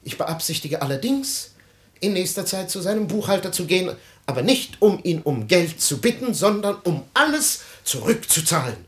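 An actor playing an aggrieved, self-pitying middle-aged man with melodramatic, halting delivery in a 1950s radio play. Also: high-pitched, sing-song. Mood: offended pride. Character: aggrieved, self-pitying married man, theatrically wounded and proud.

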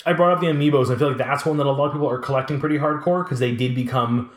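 Man, late twenties, rapid-fire casual talker, animated storyteller, echoey room sound. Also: mid-range voice. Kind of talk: casual chat about collectibles. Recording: roomy, echoing room sound.

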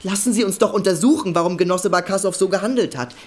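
A woman playing an earnest party comrade in a radio drama, arguing insistently and a little haltingly for fairness. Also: medium pitch, natural voice. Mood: earnest, pleading. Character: earnest young party comrade, shepherd's child, pleading for fairness. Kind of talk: German radio drama acting.

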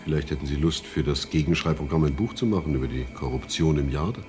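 Brisk publisher in radio play, very low voice, rapid delivery, light music. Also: male voice, a little mellow. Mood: inviting. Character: brisk male publisher offering work. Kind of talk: radio drama dialogue.

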